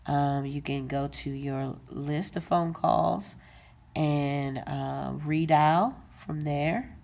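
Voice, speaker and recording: A woman with a low voice explains a device step by step. She takes her time and talks off the cuff, with fillers and quick corrections. It sounds like a casual home tutorial. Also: gravelly, a little mellow. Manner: unscripted, with many fillers and self-corrections. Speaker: tech video presenter. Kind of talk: casual how-to demonstration.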